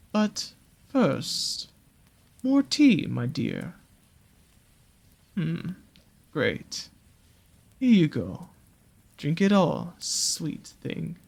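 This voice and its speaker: A performer plays a possessive serpent creature from a fantasy audio roleplay. The delivery is extremely slow and soothing, at a medium pitch. Every phrase is coaxing and doting, with a sly edge beneath the care. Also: creaky, a little mellow, slightly rough, heavily acted. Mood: coaxing, attentive. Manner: unhurried, coaxing, doting with pet names.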